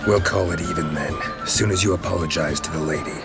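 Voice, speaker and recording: An actor playing a gruff, laconic monster hunter in a video game, speaking in a dry, deadpan way. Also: male voice, low-pitched, fast talker. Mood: dry, menacing. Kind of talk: video game character acting. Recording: loud music underneath.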